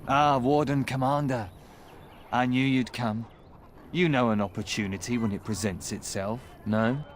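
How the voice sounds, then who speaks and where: An actor playing a sly, courteous underworld dealmaker in a video game, speaking formally and insinuatingly. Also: male voice, medium pitch, a little slow, a little hiss or hum, close to an everyday voice. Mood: conspiratorial, polite. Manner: smooth-talking, formal, insinuating. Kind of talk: video game character dialogue.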